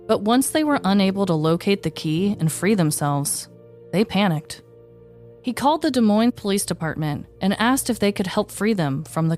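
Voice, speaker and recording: A woman's voice: medium pitch, a little mellow. A woman hosting a podcast, moving between scripted true-story narration and casual chat.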